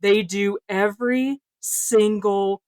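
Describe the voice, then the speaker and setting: A woman's voice: mid-range voice, fairly even intonation, slow pace, a little mellow, no echo, clean studio sound. A woman co-hosting a podcast in casual panel chat, speaking informally and with emphasis. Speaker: podcast co-host.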